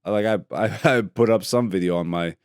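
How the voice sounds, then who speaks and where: A man's voice: on the low side, fairly quick, darkish tone, clear, resonant tone, no room sound, clean studio sound. A man hosting his own podcast solo, chatting in a laid-back, joking and profane way, rambling from topic to topic. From Greece. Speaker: podcast host. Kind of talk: casual solo podcast chat.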